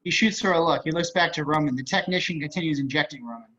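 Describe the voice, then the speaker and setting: A man's voice: fairly high pitch, fast, bright, forceful tone. A man reading scripted stage directions as narrator of an amateur table read on a dull-sounding video call.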